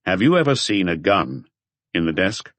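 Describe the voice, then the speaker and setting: A man voicing a calm, authoritative police chief inspector in an audiobook, terse and matter-of-fact. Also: low voice, moderate pace, no room sound, exaggerated voice. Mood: questioning.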